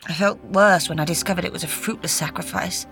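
A grave, gentle old woman who was once an agent, as a woman voices her. She speaks in a measured, bright voice, with faint music underneath. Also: lowish voice, fairly even intonation, vocal fry.

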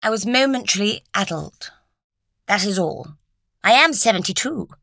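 A woman's voice: mid-range voice, animated, moderate pace, gravelly, a bit bright, no room sound, studio quality, voice pushed up. Casting: dignified woman of seventy-two as a female narrator acts her, cool, curt, formal, for a dryly recorded audiobook. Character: elegant, cool, imperious seventy-two-year-old lady.

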